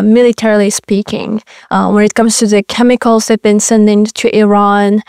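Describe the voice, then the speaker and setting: Smooth-voiced woman, flat delivery. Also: mid-range voice, no room sound, studio quality. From Morocco. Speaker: guest, research fellow. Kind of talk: interview answers.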